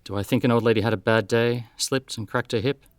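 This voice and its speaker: An actor playing a brisk, matter-of-fact male detective in a scripted audio drama, businesslike and plain-spoken. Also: mid-range voice, steady tone, no room sound, natural voice. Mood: firm.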